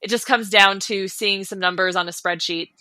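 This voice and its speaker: Bright-voiced American woman, close and dry. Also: medium pitch, steady tone, normal speed, no room sound. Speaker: podcast co-host. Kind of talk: casual industry chat.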